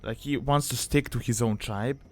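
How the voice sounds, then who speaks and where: A man's voice: mid-range voice, steady tone, moderate pace, darkish tone, soft background music. Casting: male tutorial narrator, mid-range voice, informal and conversational, explaining things casually. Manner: informal, conversational, hedging phrases. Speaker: video guide presenter.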